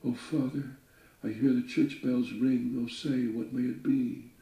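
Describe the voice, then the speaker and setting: Casting: male reader as a scared, pleading captain's little daughter in a verse tale, mellow tone raised higher. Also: fairly even intonation, unhurried, creaky, echoey room, distant mic, rough recording. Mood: anxious, pleading. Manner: pleading, questioning. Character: young frightened daughter of a sea captain.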